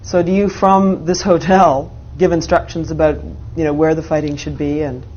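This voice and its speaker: A woman's voice: sits low, steady tone, moderate pace, darkish tone, slight background noise. A woman interviewer putting direct, probing questions in a formal news interview. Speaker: interviewer, journalist. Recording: dull archive interview audio.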